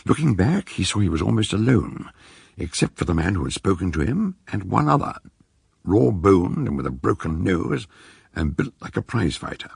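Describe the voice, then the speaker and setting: A man narrates a detective drama in a low voice. It is gravelly and very raspy. His delivery is dramatic and scripted. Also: very animated, moderate pace, no echo, studio quality.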